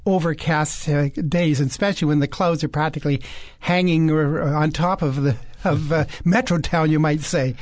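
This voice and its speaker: A man co-hosting a radio health show, talking opinionatedly with many fillers and pauses. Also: on the high side, fairly even intonation, no room sound, studio quality. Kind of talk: casual radio talk. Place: radio studio.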